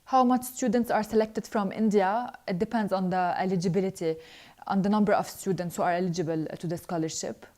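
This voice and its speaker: A young woman, a scholarship alumna, reading out viewer questions and answering them earnestly in a live Q&A, with frequent hesitant pauses. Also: medium pitch, steady tone, dark tone.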